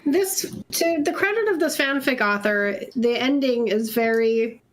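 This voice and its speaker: A woman's voice: fairly high pitch, animated, unhurried, a little hiss or hum. A woman chatting casually with a group reading and discussing stories. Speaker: panel member. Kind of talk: casual chat.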